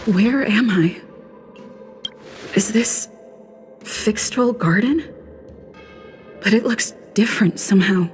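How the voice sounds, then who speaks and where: A woman's voice: mid-range voice, slow pace, pauses often, lightly acted. A woman voicing a curious young adventurer in a video game, hesitant and musing to herself. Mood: puzzled. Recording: loud music behind the voice. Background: game music.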